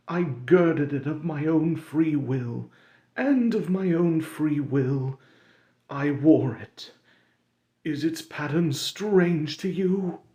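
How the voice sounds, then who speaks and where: A man voicing the chained ghost of an old miser, with a high, slow, mournful wail, recorded in an echoey room. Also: mellow.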